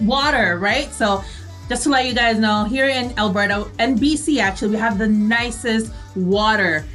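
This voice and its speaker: A woman with a Filipino accent co-hosting an online radio show, chatting casually in a bubbly, expressive, affectionate way. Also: on the high side, moderate pace, soft background music. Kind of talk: casual bilingual chat.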